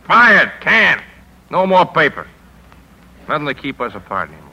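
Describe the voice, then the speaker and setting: Forceful, sharp-voiced cynical newspaper editor. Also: male voice, a little high, big pitch swings, moderate pace, creaky, husky, exaggerated voice, low-quality recording. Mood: drunk, agitated. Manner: punchy, sardonic, world-weary. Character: hard-driving, cynical newspaper editor, weary and bitter. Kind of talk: 1950s radio drama acting.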